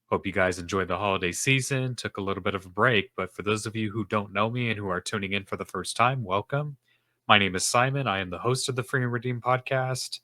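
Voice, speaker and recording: A young man talks into a podcast microphone in a low, deep register, his tone bright and forceful. His nose is stuffed up, and he rambles earnestly with plenty of fillers as a self-esteem coach hosting his own show. The sound is dry and close, with no room echo. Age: young adult. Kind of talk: casual solo podcast monologue.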